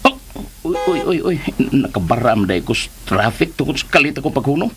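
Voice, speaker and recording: A man's voice: mid-range voice, big pitch swings, fairly quick, lightly acted. An actor playing a hot-tempered, scheming man in a radio drama, muttering to himself and cursing. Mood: grumbling. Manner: muttering to himself, bursting into curses.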